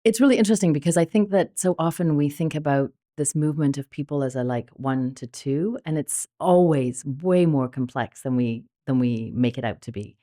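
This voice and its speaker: A woman's voice: low voice, moderate pace, hardly any pauses, dark tone. A woman hosting an interview, asking curious, musing questions that she rephrases as she goes. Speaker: podcast host.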